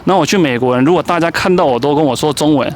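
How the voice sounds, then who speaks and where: A man's voice: on the high side, racing, slight background noise. Chatty male street interviewer. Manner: chatty, prompts and finishes answers. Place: city street outdoors.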